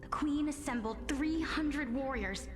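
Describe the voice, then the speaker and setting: High-voiced storyteller of a dark fable. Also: female voice, measured pace. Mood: grave. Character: female storyteller telling a solemn dark fable. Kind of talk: dramatic storybook narration. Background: game music.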